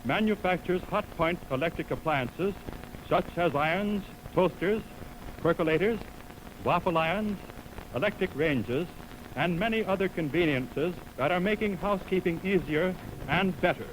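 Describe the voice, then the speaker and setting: A man working as a 1920s radio announcer, reading a sponsor script in a formal, measured way on an old noisy recording. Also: high-pitched, lively intonation. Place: radio studio. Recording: noisy 1929 radio aircheck on film.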